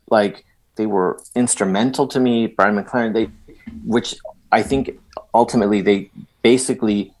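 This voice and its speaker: A husky, low-voiced middle-aged man from El Salvador speaks as an interview guest. He talks animatedly, restarting sentences and throwing in emphatic asides. His tone is slightly breathy and rough. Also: rough recording. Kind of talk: conversational interview.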